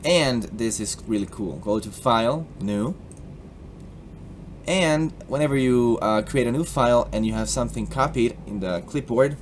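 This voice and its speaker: A young man with a Colombian accent talks through a screen tutorial in a loose, playful way. His voice sits at an ordinary middle pitch. A faint hiss lies under the recording. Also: normal speed. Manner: playful and jokey, slipping into put-on accents. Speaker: tutorial host.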